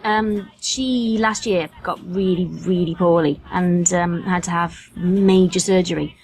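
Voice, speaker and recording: A woman's voice: lowish voice, steady tone, a little slow, a little mellow, low-quality recording. British woman in casual podcast chat. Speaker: podcast guest, voice actress and fan fiction writer.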